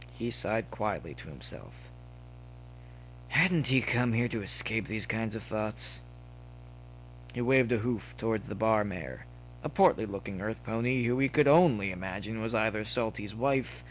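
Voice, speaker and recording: Low-voiced man narrating fiction, humming background. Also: low-quality recording. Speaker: audiobook-style narrator of fan fiction. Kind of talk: scripted story narration, dramatic reading. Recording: noticeable background noise with hum.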